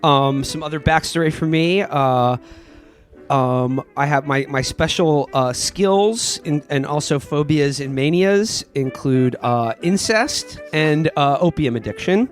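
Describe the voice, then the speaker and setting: A man's voice: a little high, a little slow. A man playing a tabletop game, casually introducing his character in a hesitant, repetitive way. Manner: hesitant, repeats words. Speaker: player in a comedy tabletop game. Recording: faint music under the voice.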